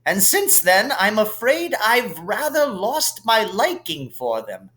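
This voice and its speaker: A man voicing an elderly, genial, whimsical wizard headmaster who muses in a dreamy, unhurried way. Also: sits high, very animated, fairly bright tone, pitched above the performer's own voice, exaggerated voice. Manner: unhurried, musing.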